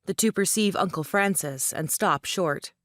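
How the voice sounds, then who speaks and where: A woman's voice: lowish voice, a little slow, a bit bright, no echo, clean studio sound. A woman reading stage directions as scripted narration for a play.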